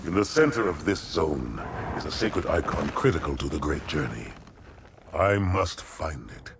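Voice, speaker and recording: Very deep, solemn alien warrior. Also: male voice, big pitch swings, very noisy, exaggerated voice. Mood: resolute. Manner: grave, measured. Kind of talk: cinematic game voice acting. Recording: clear background effects.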